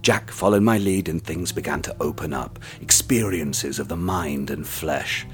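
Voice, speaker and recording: A man playing a deep-voiced silky sadist. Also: soft background music. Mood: gloating recollection. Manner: soft, measured, silkily menacing. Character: cold sadistic man tormenting a bound captive. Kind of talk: radio play acting.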